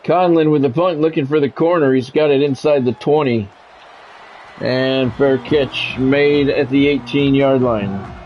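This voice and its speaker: A man's voice: medium pitch, a little slow. A middle-aged man doing sports video game play-by-play with blunt, sweary, exasperated reactions. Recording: dull lo-fi audio, faint background noise.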